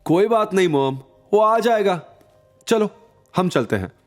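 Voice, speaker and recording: A man's voice: high-pitched, very animated, unhurried, faint music behind, voice pushed up. A man voicing a scornful, cold young woman with a dismissive, sneering manner in an audio drama. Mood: unconcerned.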